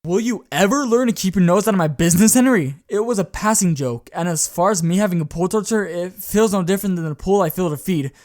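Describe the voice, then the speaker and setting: A man voices a young vampire son who answers curtly and defensively. His voice sits a little high and sounds dry and close, with no room around it. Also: quick pace, hardly any pauses, darkish tone, dry recording, natural voice. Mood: irritated. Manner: defensive, curt, exasperated. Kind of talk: amateur roleplay voice acting.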